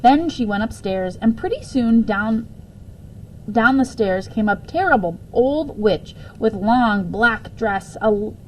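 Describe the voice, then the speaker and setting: A woman with a fairly high voice narrates a children's book. She reads aloud in an expressive storytelling manner. There is faint noise in the background. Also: slow, rough recording. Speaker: story reader.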